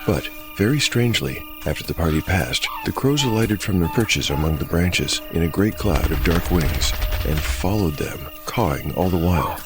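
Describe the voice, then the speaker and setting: A man acting as game master and narrator of a dark fantasy audio drama. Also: low voice, animated, moderate pace, hardly any pauses, over music.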